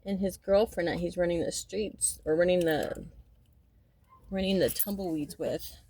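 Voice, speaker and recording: Rambling woman in her fifties, low-pitched. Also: moderate pace, dark tone, breathy edge, no room sound. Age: 50s. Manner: rambling, repeats herself, restarts sentences; emphatic and indignant at times. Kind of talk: casual spoken monologue.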